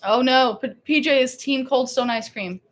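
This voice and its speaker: A woman's voice: high-pitched, normal speed. A woman co-hosting a casual theme park live stream, chatty and laughing, rambling with restarts.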